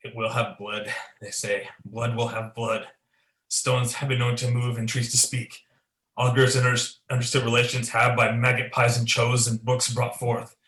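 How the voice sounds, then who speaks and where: Man acting a forceful, commanding warlord king. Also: mid-range voice, completely flat delivery, moderate pace, natural voice. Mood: brooding, resolute. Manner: declamatory, commanding, theatrical. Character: ambitious, guilt-ridden, demanding warlord king. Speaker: student performer. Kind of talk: Shakespearean radio play acting. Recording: echoey room.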